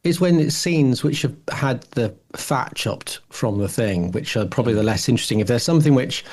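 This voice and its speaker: A man's voice: medium pitch, lively intonation, moderate pace, darkish tone. A middle-aged man talking casually as a podcast co-host, thinking aloud in a reflective, rambling way. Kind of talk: casual discussion.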